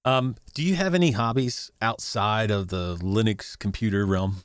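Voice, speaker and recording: A man's voice: fairly low pitch, moderate pace, clear, resonant tone, no echo, studio quality. A man hosting a casual interview, asking questions in an informal, encouraging way. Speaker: host, interviewer.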